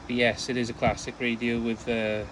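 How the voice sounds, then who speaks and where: A man's voice: medium pitch, completely flat delivery, moderate pace. Chatty man on amateur radio, noisy background. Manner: chatty, rambling, uses radio callsigns. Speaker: amateur radio operator, channel host. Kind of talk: casual ham radio chat.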